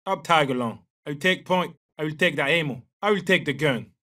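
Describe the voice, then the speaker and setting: An actor with a French accent playing a confident young special forces soldier in a military shooter game, giving terse, clipped callouts. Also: male voice, on the high side, a little fast, bright, forceful tone, distant mic. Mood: focused. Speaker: video game operator.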